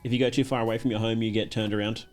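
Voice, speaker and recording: A man's voice: fairly low pitch, little pitch movement, racing. A man giving casual live commentary as a streamer, with wry, joking asides. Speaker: streamer reacting to a horror game video. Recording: music playing behind the voice. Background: music.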